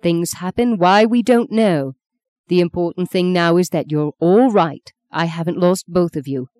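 A woman narrator plays a steadfast mother in a storybook drama, holding grief under firm control. The tone is mellow and soft-edged, stern yet tender, recorded very dry. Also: lowish voice, moderate pace, no room sound, natural voice. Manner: controlled, firm, gentle, unfaltering. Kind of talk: dramatic audiobook character voice.

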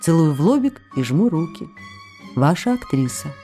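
An affectionate actress reading her letters aloud in a radio drama. Her voice is rather low and soft-edged, intimate and expressive, moving between playful teasing and wistfulness. Faint music plays behind her. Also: normal speed.

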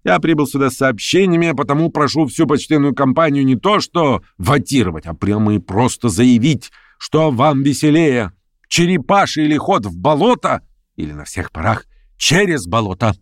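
A man voicing a domineering, impatient political agitator in an audiobook, with sharp, goading and mocking oratory. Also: medium pitch, animated, moderate pace, no room sound, clean studio sound, voice pushed up, close to an everyday voice. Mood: fervent, goading. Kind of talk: acted audiobook dialogue.

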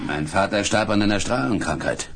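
Gravelly, steady father. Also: lowish voice, fairly quick, full character voice. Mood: grave, explaining. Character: steady, plain-spoken father, a watchful rebel. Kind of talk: 1970s German radio play acting.

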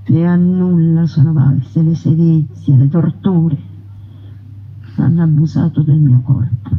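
A woman voicing a proud, defiant young woman bandit in a slow, solemn theatrical monologue. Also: deep voice, steady tone, slightly rough, full character voice. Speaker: narrating voice in a stage piece. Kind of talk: theatrical first-person monologue. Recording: dull radio broadcast sound.

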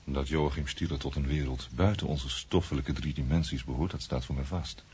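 An actor playing a sober, thoughtful man recounting strange events in a radio drama, earnest and measured. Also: rumbling low, fairly even intonation, a little mellow. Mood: sober, pensive. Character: sober, earnest male friend, thoughtful witness to the uncanny. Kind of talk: literary radio drama narration. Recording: faint background noise.

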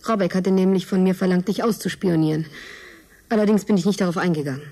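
A woman's voice: lowish voice, fairly even intonation, fast, dark tone. An actress playing a guarded, serious young woman in a German radio drama. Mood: sober, worried.

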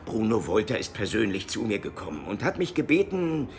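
An actor playing a brusque, bureaucratic police superior in a radio play, officious and curt. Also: male voice, on the low side, huge pitch swings, fairly quick, a little mellow, a little hiss or hum. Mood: curt, explaining. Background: faint music.

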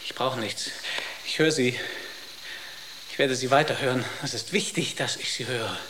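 A man acts out a troubled space crewman in a radio drama, held under a spell. The delivery is intense and halting, circling back on words, at a middling pitch in a strongly echoing room. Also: bright, forceful tone, natural voice. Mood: entranced, insistent. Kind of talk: German radio drama acting. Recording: echoey room, faint background noise.

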